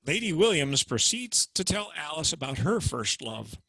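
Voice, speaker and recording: A man briskly summarizing the scenes between readings, over a dry video-call line. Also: fairly high pitch, big pitch swings, pushed and bright, husky, dry recording.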